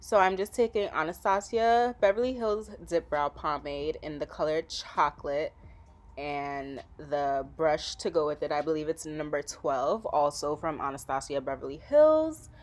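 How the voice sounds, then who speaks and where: A woman from Trinidad and Tobago, talking through a makeup tutorial as a relaxed, chatty voice-over. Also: low voice, moderate pace. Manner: chatty, relaxed, with frequent fillers. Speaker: makeup vlogger.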